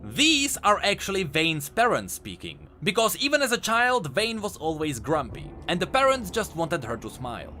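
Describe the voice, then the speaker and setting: A man's voice: sits high, wildly swooping pitch, moderate pace, hardly any pauses, bright, forceful tone, soft background music. A man hosting a scripted explainer video as a game lore commentator.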